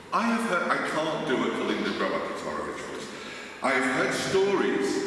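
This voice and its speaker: A man with a Liverpool English accent giving an academic conference lecture, speaking deliberately and emphatically with wry asides. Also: medium pitch, fairly bright tone. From Liverpool, England. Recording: echoey hall, distant mic, faint noise.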